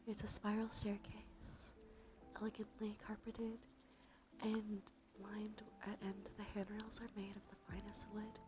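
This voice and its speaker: A woman giving an ASMR guided visualization in a slow, soothing, gently coaxing manner. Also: mid-range voice, completely flat delivery. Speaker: ASMR streamer. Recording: music behind the voice. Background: music.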